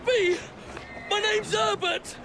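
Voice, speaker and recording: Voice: extremely high pitch, steady tone, slow pace, full character voice. An actor playing a frightened, innocent hired street youngster in a video game. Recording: clear background noise.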